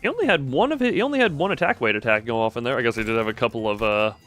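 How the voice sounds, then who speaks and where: A man's voice: mid-range voice, animated, racing, fairly bright tone. Male streamer, mid-range voice, loose read-aloud with self-corrections, game music underneath. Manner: stumbles and self-corrects while reading aloud. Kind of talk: live stream reading game dialogue aloud with commentary.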